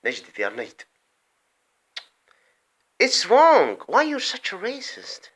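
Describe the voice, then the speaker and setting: A man holds forth in a rambling, heated monologue with a lively voice that climbs and drops sharply. His tone is bright and pointed. He often cuts himself off, restarts, and drops to mutters before flaring up again. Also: sits high, takes it slowly, breathy edge. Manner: swings between loud outbursts and muttered asides. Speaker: vlogger. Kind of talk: impassioned political monologue.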